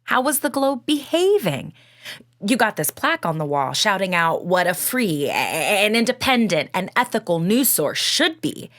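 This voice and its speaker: A woman's voice: mid-range voice, big pitch swings, moderate pace, a bit bright. A woman acting as a skeptical questioner in an audio play, conversational and challenging.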